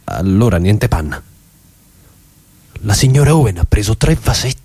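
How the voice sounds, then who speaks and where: An actor playing a pushy, insistent milkman in a radio play, with a husky voice. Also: fairly low pitch, big pitch swings, moderate pace, a little mellow, dry recording, heavily acted. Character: pushy male milkman pressing cream on a customer.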